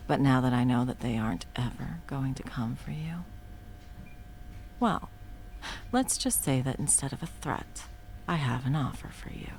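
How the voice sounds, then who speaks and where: A woman voicing a scheming female supervillain who swears and often drops to a soft whisper, in a one-sided audio roleplay. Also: a bass voice, moderate pace, long pauses, a little hiss or hum. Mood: whispering, possessive.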